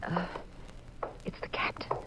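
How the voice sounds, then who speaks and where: An actress playing an anxious, inquisitive detective's wife, urgent and questioning, in a 1950s radio drama. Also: female voice, measured pace, natural voice. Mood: urgent, hushed. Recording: muffled, hissy 1950s radio recording.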